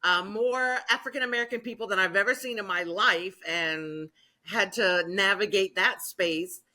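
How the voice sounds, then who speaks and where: A woman's voice: medium pitch, normal speed, sharp tone. A woman in her fifties from Maine, a youth minister telling her life story earnestly in an interview. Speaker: youth ministry worker, guest.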